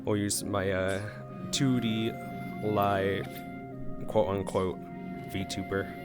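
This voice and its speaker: A man's voice: on the low side, little pitch movement, drawn-out words. A man doing casual reaction commentary as a streamer, musing aloud in a laid-back way. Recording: game music behind the voice.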